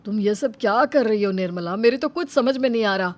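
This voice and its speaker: A woman's voice: medium pitch, fairly quick, a little mellow, natural voice. A woman voicing a worried mother-in-law. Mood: puzzled.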